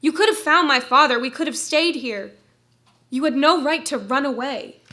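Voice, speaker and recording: A woman's voice: high voice, moderate pace, bright, forceful tone. An actress reading the part of a grown daughter in a staged play, pressing her mother with pointed, accusing questions. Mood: angry. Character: grown daughter, hurt and confrontational.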